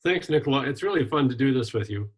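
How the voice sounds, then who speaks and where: An older man from Wisconsin, a retired minister and journalist, telling his life story in a relaxed interview with frequent ums and restarts. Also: mid-range voice, fast talker, breathy edge. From Wisconsin, USA. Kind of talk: casual conversational interview.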